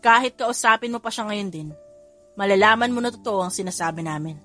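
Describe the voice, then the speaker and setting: A woman's voice: medium pitch, brisk, fairly bright tone, lightly acted. A serious male assistant who delivers bad news in a firm, formal and polite way, as a female narrator reads him. The voice stays close to her plain narrating tone, with faint music underneath the audiobook. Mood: stern.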